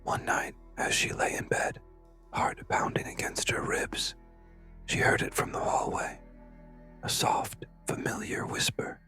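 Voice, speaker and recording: A whispering storyteller narrates horror over background music. The delivery is hushed and suspenseful, leaving pauses between short phrases. The whisper is breathy and very bright, with no voiced tone at all. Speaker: narrator of scary stories. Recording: music behind.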